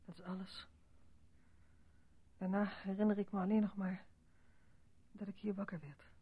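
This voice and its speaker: An actress playing an anxious but stubborn journalist's wife in a Dutch radio play. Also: female voice, mid-range voice, flat delivery, normal speed, husky, natural voice. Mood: subdued, worried. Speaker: actor. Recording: dull, muffled old radio recording.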